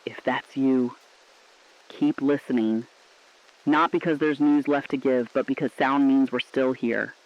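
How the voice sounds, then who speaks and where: A man's voice: medium pitch, steady tone, a little slow, dull audio, lightly acted. A man playing a mournful but defiant last radio broadcaster, speaking solemn, earnest, poetic lines in a scripted drama. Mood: mournful, haunted.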